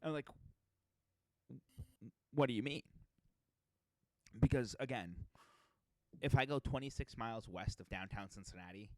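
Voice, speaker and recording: A man's voice: mid-range voice, moderate pace, pauses often, no echo. A young man from Massachusetts co-hosting a podcast, chatting casually with rambling, self-deprecating asides. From Massachusetts, USA. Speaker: podcast co-host. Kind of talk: casual chat.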